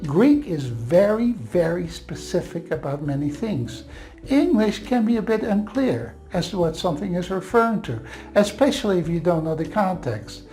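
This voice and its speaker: A man's voice: sits high, big pitch swings, moderate pace, mellow, faint music behind. Dutch-accented man teaching Bible grammar. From Netherlands. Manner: earnest, explanatory, reassuring asides.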